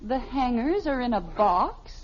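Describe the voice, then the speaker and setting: A woman's voice: on the high side, sing-song, a little slow. An American actress playing a middle-aged wife and mother in a 1950s radio comedy, dry, exasperated and incredulous. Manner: dry, incredulous, pointed questioning. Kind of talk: 1950s radio sitcom acting. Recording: noisy, muffled early 1950s radio recording.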